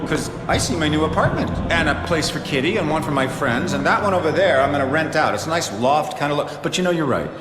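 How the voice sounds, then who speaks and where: A man plays a gloating film supervillain, sardonic and theatrically menacing. His voice is fairly high and swings widely, with slow, drawn-out phrasing. It rings in a big echoey space under loud music. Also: lively intonation, close to an everyday voice. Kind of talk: film villain acting.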